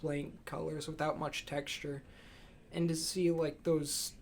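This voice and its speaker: A man's voice: a little high, level tone, measured pace, creaky. A young man co-hosting a student podcast, discussing movies in a casual, conversational way. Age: young adult. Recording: noticeable background room noise.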